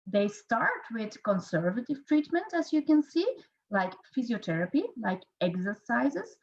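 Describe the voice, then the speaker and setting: Dutch-accented woman giving an online lecture, kinda high voice, lots of ums and restarts, muffled audio. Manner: hesitant, frequent fillers and self-corrections. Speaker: physiotherapy researcher and lecturer.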